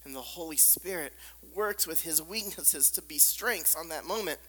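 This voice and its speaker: Squeaky voiced guy preaching, repeats lines for punch, goes hushed, long hall echo. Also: moderate pace, breathy edge. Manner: emphatic repetition, drops to soft near-whispers. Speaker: pastor. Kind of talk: sermon. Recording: long reverb of a large hall.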